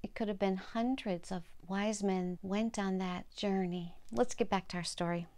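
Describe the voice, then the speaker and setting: An older woman telling Bible stories for children in short, simple sentences, pausing to explain. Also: medium pitch, fairly even intonation, darkish tone, clean tone. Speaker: storyteller and host of a children's story program.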